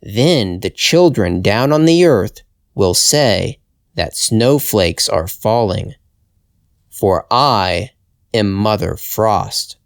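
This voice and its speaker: A man voicing a kindly old magical woman in a fairytale, speaking gently and without hurry. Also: fairly low pitch, lively intonation, drawn-out words, creaky, no echo, studio quality, heavily acted. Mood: kindly, instructing.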